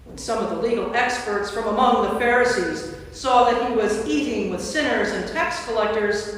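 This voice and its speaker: Female narrator, measured storytelling for a staged scripture reading. Very reverberant church, distant mic. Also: a little high. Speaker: narrator, Episcopal priest. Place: large church interior.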